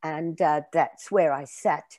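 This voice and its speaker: Older woman, mellow dry voice. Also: low-pitched, takes it slowly, dry recording. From Australia. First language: French. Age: around 70. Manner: animated, gestures while telling stories. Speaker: guest author and storyteller. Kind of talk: conversational interview. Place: home room, indoors. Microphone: video call.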